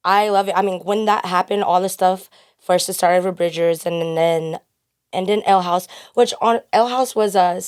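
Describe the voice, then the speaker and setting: Lowish-voiced upbeat woman. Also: steady tone, moderate pace, smooth. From Kansas City. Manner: chatty, upbeat storytelling. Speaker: podcast guest, bottle attendant. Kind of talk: casual chat.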